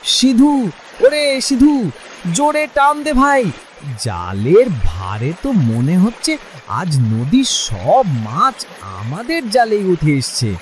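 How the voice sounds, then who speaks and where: A man voicing a loyal village fisherman, emotional and exclamatory, in an animated moral story. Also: sits high, takes it slowly, a little hiss or hum, full character voice. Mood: excited. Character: loyal, anxious village fisherman and devoted friend.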